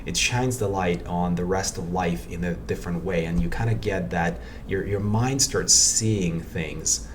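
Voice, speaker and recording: A man in his forties from Ukraine with a very low voice, speaking as a podcast guest. He talks reflectively, circling back and repeating words as he works out his thoughts. He is picked up from some distance, with steady noise behind him. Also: unhurried. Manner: reflective, thinks aloud, repeats words while searching for them. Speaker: guest, meditation practitioner. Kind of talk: casual podcast conversation. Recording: distant mic with clear background noise.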